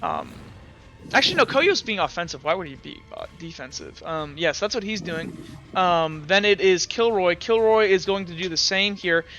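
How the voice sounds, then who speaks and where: A man's voice: high voice, sing-song, normal speed, pushed and bright, soft background music. A young man running a tabletop game as game master, rambling with fillers and self-corrections.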